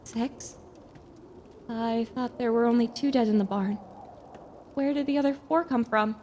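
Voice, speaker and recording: A woman's voice: fairly high pitch, unhurried, a little mellow, a little hiss or hum, close to an everyday voice. A woman playing a stubborn young female pony in an audio drama, speaking in a terse, insistent manner. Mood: quiet shock.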